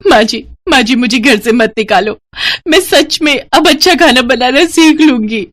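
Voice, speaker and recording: A woman voicing a meek, dutiful young daughter-in-law in a Hindi cartoon story, polite and expressive. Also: high-pitched, moderate pace, no room sound, pitched above the performer's own voice. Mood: pleading.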